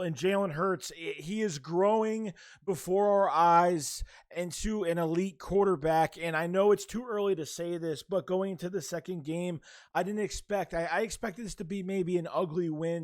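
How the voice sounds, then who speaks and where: A young man runs through his thoughts on the latest game, his voice hoarse and nearly worn out. He rushes and rambles, piling on fillers and restarts, and sits a little above the usual male pitch. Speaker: sports vlogger. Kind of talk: casual sports talk to camera.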